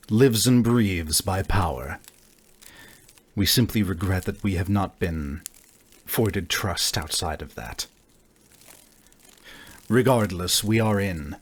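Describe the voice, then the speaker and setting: Man playing a slow, formal, measured negotiator. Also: deep voice, husky, exaggerated voice. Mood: regretful, composed. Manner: deliberate, formal, halting phrasing.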